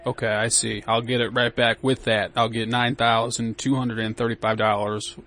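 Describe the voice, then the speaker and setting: A man's voice: medium pitch, flat delivery, normal speed, a bit bright. Agreeable man with a mid-range voice. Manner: agreeable, playing naive. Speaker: prank call taker. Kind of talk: casual phone chat. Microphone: recording his side of a phone call.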